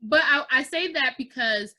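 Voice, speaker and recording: This is a young woman from the United States hosting a relaxed interview over a webcam at home. Her voice sits high and sounds bright. She talks in an animated way and switches into Haitian Creole partway through. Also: moderate pace. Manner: animated, gestures while talking, mixes in Haitian Creole. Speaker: host. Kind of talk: casual video-call interview. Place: living room, indoors. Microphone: video call webcam.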